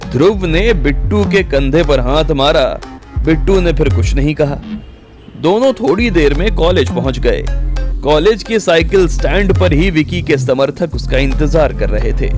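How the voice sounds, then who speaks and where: A man narrating a scripted audio drama story as its storyteller. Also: on the high side. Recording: music bed under the voice.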